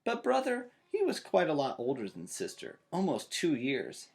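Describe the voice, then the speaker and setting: A man's voice: medium pitch, vocal fry. A man in his thirties narrating a children's storybook aloud. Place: small child's bedroom, indoors.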